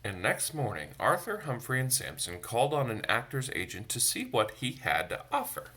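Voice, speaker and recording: A man's voice: medium pitch, wildly swooping pitch, normal speed, sharp tone. A man reading a picture book aloud to children, expressively, with chatty asides to his young listeners. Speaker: storytime reader for a church. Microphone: phone.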